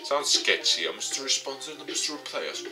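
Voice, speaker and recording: A man voicing a brash, cocky, excitable young male pro gamer in a visual novel. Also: mid-range voice, quick pace, pitched above the performer's own voice, close to an everyday voice. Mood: skeptical. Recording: game music behind the voice.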